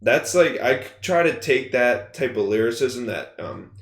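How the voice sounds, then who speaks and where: Young rapper guest, deep-ish gravelly voice, loose rambling podcast chat in a reverberant room. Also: male voice, lively intonation, moderate pace. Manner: laid-back, rambling, swears a lot. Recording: echoey room.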